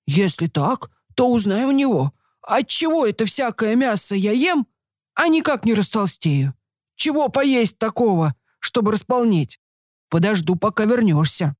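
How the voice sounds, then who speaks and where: A scrawny, hungry male wolf from a storybook , acted out by a woman. The speech is gruff and menacing, swings widely in pitch and sounds close and dry. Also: big pitch swings, measured pace, a little mellow, no echo.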